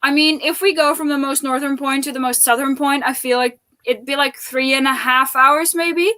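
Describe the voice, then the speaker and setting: A woman's voice: sits high, fairly even intonation, normal speed, bright, forceful tone. A woman from the Netherlands, chatting casually and informally as co-host of a live stream. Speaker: co-host of a book readalong stream. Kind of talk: casual chat.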